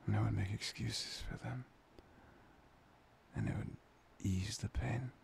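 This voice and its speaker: A man playing a soft, loving boyfriend in an intimate ASMR roleplay, half-whispering breathily and soothingly up close to the mic. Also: fairly low pitch, fairly even intonation, vocal fry, a little hiss or hum. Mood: tender, confessional. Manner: slow, pausing, soothing. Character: soft, playful, loving boyfriend, tender and reassuring. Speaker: audio roleplay performer. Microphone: close microphone.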